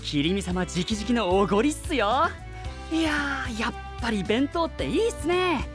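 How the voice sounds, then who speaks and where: A man's voice: extremely high pitch, big pitch swings, normal speed, fairly bright tone, full character voice. A man voicing an upbeat young male bento server in an anime voice drama, talking in casual slangy speech. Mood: cheery. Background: music.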